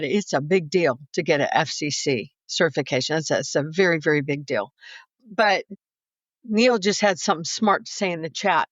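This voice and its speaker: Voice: mid-range voice, fairly bright tone, no echo, highs cut off. An older American interviewer with an effusive, rambling, chatty way of talking, repeating words for emphasis. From United States. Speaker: podcast co-host, interviewer.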